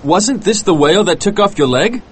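A man's voice: high-pitched, expressive, normal speed, a bit bright, a little hiss or hum, voice pushed up. A man voicing a grim, cautious, practical ship's mate in an audiobook, speaking earnestly and in protest. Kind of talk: dramatized audiobook character voice.

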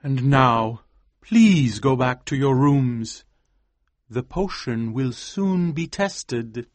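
Man acting an intense, slow-spoken scientist husband. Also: mid-range voice, animated, no echo. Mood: grave. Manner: theatrical, emphatic, slow and deliberate. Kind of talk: dramatised audiobook acting.

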